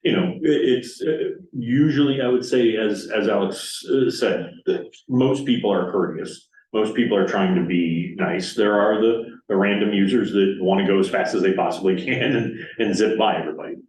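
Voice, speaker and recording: A man's voice: fairly low pitch, normal speed, hardly any pauses, darkish tone. A man on staff answering board questions at a public meeting, hesitant and full of fillers. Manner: hesitant, many fillers and restarts. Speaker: parks staff presenter. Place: council meeting room. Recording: roomy, distant meeting-room mic.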